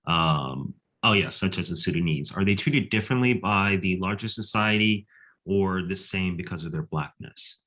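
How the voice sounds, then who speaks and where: Male webinar moderator, deep voice, easygoing and loose, calm host delivery. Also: moderate pace. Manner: relaxed, filler-laden phrasing. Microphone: video call.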